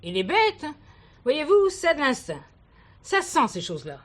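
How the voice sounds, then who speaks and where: An actress playing a talkative, kindly village shopkeeper who adores cats and gossips in a confiding way in a television play. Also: female voice, high voice, huge pitch swings, measured pace, full character voice. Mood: guarded, insinuating. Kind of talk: 1970s French television play dialogue.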